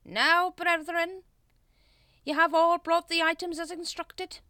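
Woman playing a shrill, pompous male cult leader. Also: flat delivery, slow pace, bright, forceful tone, dry recording, clean studio sound, full character voice. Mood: commanding. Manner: theatrical, imperious, sarcastic. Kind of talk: storybook character voice in audiobook.

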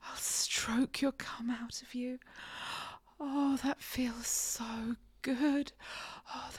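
A woman speaks in a breathy, sighing whisper, close to the microphone. Her voice sits high and she takes her time over each phrase. The sound is dry, with no room around it. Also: dry recording. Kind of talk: intimate whispered audio roleplay.

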